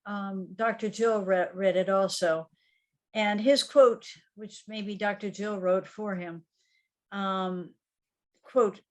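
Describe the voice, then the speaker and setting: An older woman, a guest author, recalling her life in a reflective way, with frequent ums and soft laughs. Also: mid-range voice. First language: English. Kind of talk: conversational interview storytelling.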